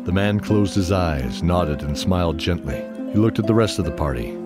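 A man with a very low voice tells a scripted fantasy tale. He serves as the narrator of an audio drama. Music plays clearly behind him. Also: lively intonation, moderate pace. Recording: music under the voice.

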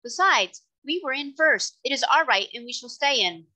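A woman voicing a pushy, self-important gentleman in a storybook, haughty and blustering. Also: high-pitched, lively intonation, normal speed, voice pushed up, full character voice. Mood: haughty, insistent.

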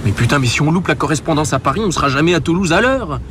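High-voiced, bossy, panicky young man played by an actor. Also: lively intonation, very fast, close to an everyday voice. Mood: impatient, annoyed. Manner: impatient, swearing, slangy. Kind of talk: French radio drama acting. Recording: street and car noise behind.

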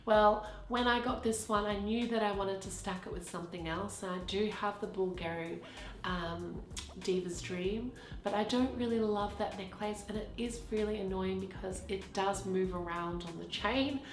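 A woman with a cold, sounding croaky, chatting casually as she narrates an unboxing vlog and gushes over the packaging. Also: medium pitch, fairly even intonation, moderate pace. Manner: chatty, gushing about packaging. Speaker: vlogger. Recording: roomy sound with background music.

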